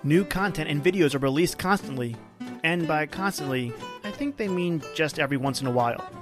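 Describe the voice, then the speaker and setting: A woman's voice: low-pitched, a little fast. A woman reading a comedic podcast ad, playful, self-deprecating and tongue-tied. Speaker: sponsor announcer. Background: music with brass and guitar.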